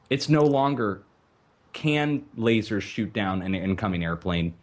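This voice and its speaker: Low-voiced man hosting a call-in show. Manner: earnest, emphatic lecturing with rhetorical questions. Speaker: radio show host.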